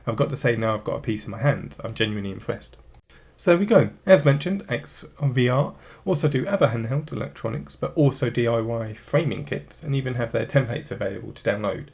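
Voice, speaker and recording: A man's voice: mid-range voice, lively intonation, brisk, gravelly, a little mellow. A man in his thirties hosting a retro gaming channel, chatting enthusiastically through an unboxing while he has the flu. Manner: chatty, enthusiastic product talk. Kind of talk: unboxing and product overview.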